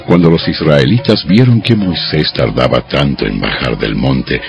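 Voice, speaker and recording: A man narrating a dramatized audio Bible in a scripted storytelling style, with a gravelly voice. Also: a bass voice, moderate pace, lo-fi sound. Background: music.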